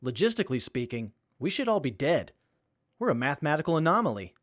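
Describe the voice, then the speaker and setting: An actor in an audio drama playing a brainy, literal-minded wasteland survivor with a pedantic manner. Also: male voice, on the high side, very animated, quick pace. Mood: matter-of-fact.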